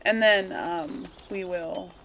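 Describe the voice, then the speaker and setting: A woman's voice: lowish voice, steady tone, slow pace, fairly bright tone, slight background noise. A woman hosting a casual let's play, chattily thinking aloud as she plays. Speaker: gaming video host.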